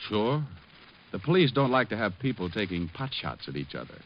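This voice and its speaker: A man's voice: fairly low pitch, big pitch swings, brisk, slight background noise. An actor in an old radio noir drama playing a wry, cocky hard-boiled private detective who wisecracks as he talks and narrates. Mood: sardonic, cool. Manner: wisecracking, sardonic. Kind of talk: 1940s-50s radio noir acting and narration. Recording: muffled old radio recording.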